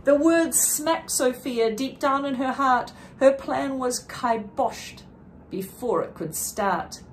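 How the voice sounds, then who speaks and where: Female picture-book reader: expressive and deliberate, higher-pitched, unhurried, with light noise behind. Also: rough recording. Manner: deliberate, dramatic emphasis with brief explanatory asides. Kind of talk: expressive read-aloud of a rhyming picture book.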